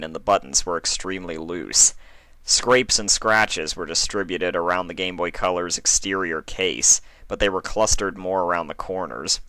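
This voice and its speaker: Deep-voiced man reading a story. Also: bright, forceful tone, no echo. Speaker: fan fiction reader. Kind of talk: scripted story narration, dramatic reading.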